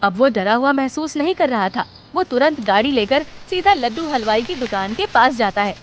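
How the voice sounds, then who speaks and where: A woman's voice: fairly high pitch, animated, moderate pace, muffled recording, a little hiss or hum. A woman reading scripted storybook narration for a cartoon tale.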